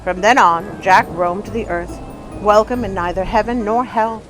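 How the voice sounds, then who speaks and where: A woman storyteller and channel host with an expressive, dramatic delivery, reading scripted stories for children. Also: medium pitch, measured pace, soft background music.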